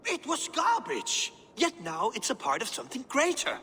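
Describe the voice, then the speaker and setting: An actor playing a pompous settler who sees himself as an artist, defending himself theatrically. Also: male voice, extremely high pitch, huge pitch swings, moderate pace, bright, forceful tone, slightly rough, heavily acted. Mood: indignant. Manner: pompous, self-righteous, theatrical. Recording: long hall reverb, faint noise.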